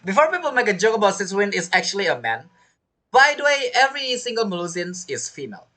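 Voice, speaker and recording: Lively, bright-voiced male game streamer. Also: high-pitched. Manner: blunt, jokey, halting. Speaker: gaming streamer. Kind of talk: casual reaction commentary over a game.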